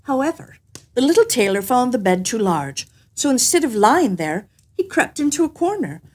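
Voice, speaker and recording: Woman storyteller, fairy-tale narration, fairly high voice, very lively intonation. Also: moderate pace.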